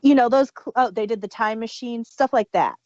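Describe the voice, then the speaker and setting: Woman, higher voice, unhurried, enthusiastic fan host, casual discussion, dry slightly muffled recording. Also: darkish tone, no echo. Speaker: podcast host reviewing audio dramas.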